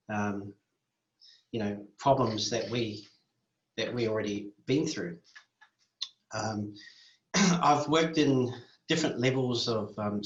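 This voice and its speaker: A man from New Zealand speaking as a community worker, measured and thoughtful, often restarting his sentences. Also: medium pitch, roomy sound, highs cut off. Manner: measured, with frequent restarts and fillers. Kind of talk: interview monologue.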